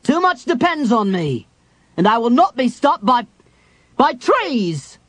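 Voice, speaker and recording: A man's voice: very high-pitched, big pitch swings, takes it slowly, fairly bright tone, no room sound, voice pushed up, exaggerated voice. A man voicing a big, brave human warrior in an audiobook, declaiming defiantly.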